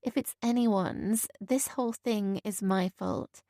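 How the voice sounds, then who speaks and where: A woman voicing a soft-spoken, remorseful mother in a storybook reading, quiet and subdued. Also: medium pitch, normal speed, vocal fry, no room sound, clean studio sound, natural voice. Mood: guilty.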